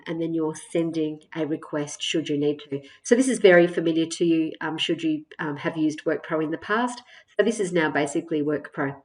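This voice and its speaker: A woman presenting a software tutorial as a step-by-step walkthrough, explaining in a measured way with frequent hesitations. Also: sits low. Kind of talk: screen-share product walkthrough, semi-scripted narration.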